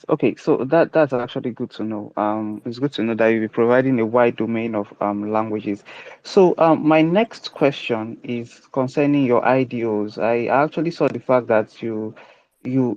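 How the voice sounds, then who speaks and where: A man hosts an online Q&A in a middle-pitched voice that keeps breaking into "um" fillers. His audio is dry and dull, like a low-fidelity call. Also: moderate pace, a little mellow, dry recording. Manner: hesitant, many "um" fillers. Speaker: AMA host. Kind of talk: online crypto AMA questioning.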